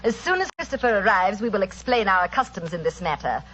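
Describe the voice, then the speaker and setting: A woman's voice: mid-range voice, big pitch swings, brisk, fairly bright tone. An actress playing a cold, scheming female spy in a 1940s radio drama, speaking formally with a coolly mocking edge. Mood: formal, suspicious. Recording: dull, noisy old radio recording, hall reverb.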